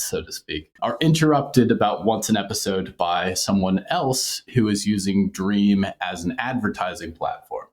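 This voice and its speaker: A man speaking casually as an interview guest, explaining his creative work in a thoughtful, hedging way. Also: deep voice.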